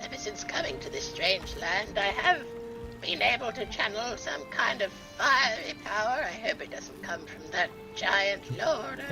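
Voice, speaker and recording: A woman's voice: medium pitch, animated, unhurried, over music, low-quality recording. A woman voicing a sweet, scatterbrained old grandmother who dithers and keeps saying "oh dear" during a role-play game. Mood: fretful. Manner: dithering, keeps exclaiming "oh dear" and calling people dear.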